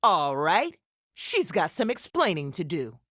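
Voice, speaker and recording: A woman playing a brash, hearty, loyal female gem builder in an animated game, talking in a punchy, blunt, folksy way. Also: lowish voice, a little slow, no room sound, studio quality, heavily acted. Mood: determined. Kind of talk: animated game cutscene acting.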